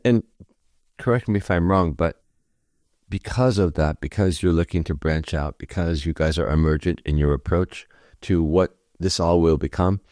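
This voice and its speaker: Host, male, deep voice, affirming interviewer, dry close sound. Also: normal speed, darkish tone, no echo. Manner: affirming, probing questions. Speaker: podcast host.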